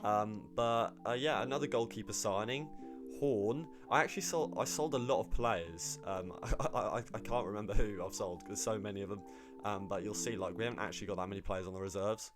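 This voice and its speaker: A man, slightly hoarse, rambling casually about his football game squad with lots of ums and restarts. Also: lowish voice, a little fast, music in the background. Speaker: gaming YouTuber.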